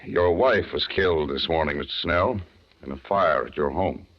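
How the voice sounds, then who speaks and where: An American man acting a grave, tactful police lieutenant with measured, hesitant politeness in a 1940s radio drama. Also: rumbling low. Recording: slightly muffled vintage radio recording.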